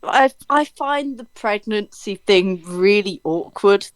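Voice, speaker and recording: A woman who is a guest on a podcast, speaking plainly and in a relaxed way in casual chat. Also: medium pitch, slow, no room sound.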